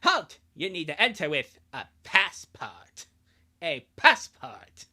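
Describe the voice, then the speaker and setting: A streamer voicing an indignant, officious Canadian park officer who scolds loudly in a Canadian accent. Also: medium pitch, sing-song, takes it slowly, full character voice.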